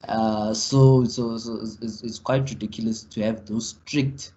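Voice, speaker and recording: Halting man, muffled call. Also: fairly low pitch, fairly even intonation, mellow. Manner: halting, full of fillers and restarts. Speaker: legal practitioner on a panel. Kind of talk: panel discussion answer. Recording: muffled video call audio.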